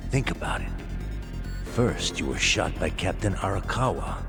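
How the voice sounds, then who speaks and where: A man's voice: deep voice, wildly swooping pitch, moderate pace, creaky, over music, heavily acted. An actor playing a menacing, mocking male gangster in a video game dub, drawling his taunts with cool curiosity. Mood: mocking, puzzled.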